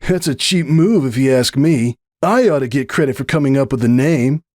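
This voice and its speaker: A man's voice: medium pitch, normal speed, a little mellow, no echo, studio quality, lightly acted. Man voicing a cocky, grumbling young stallion. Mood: mocking. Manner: grumbling, snickering, sardonic. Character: young male sporty pony, cocky but self-doubting.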